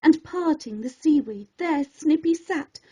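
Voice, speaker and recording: A woman storyteller narrating a rhyming children's story in an expressive, theatrical and deliberate way. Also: sits high.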